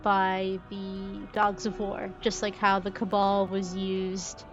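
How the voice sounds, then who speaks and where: A woman gives casual commentary as a game streamer, with clear music playing behind her. She speaks at a leisurely, unhurried pace in a mid-range voice. She tends to muse aloud, working through her thoughts as she goes. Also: mid-range voice, monotone, lo-fi sound. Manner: musing aloud, thinking through things. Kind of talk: casual live gameplay commentary.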